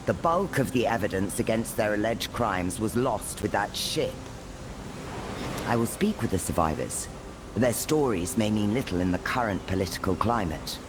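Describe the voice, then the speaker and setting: Stern, low-voiced man in authority acted by a man. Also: lively intonation, moderate pace, background noise, natural voice. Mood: grave, weary. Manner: formal, commanding. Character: stern, weary male authority figure keeping order. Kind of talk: video game voice acting.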